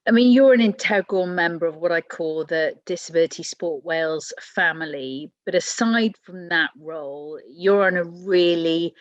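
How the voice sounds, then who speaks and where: A woman's voice: lowish voice, moderate pace, few pauses, no echo, highs cut off. A woman interviewing a podcast host, asking questions in a friendly, flowing way. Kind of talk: conversational interview.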